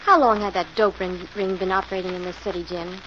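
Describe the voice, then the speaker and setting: A woman plays a plucky female assistant to a detective in a vintage radio mystery. She is inquisitive and lightly teasing, with a medium-pitched voice on a dull old recording with faint noise. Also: steady tone, quick pace, fairly bright tone, natural voice. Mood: curious. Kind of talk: 1940s radio acting.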